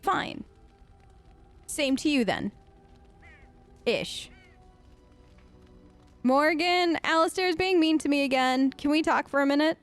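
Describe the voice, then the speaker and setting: A woman improvising in-character role-play chat for a let's play, casual and halting. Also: sits high, expressive, no echo, faint music behind. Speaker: let's player role-playing game dialogue aloud.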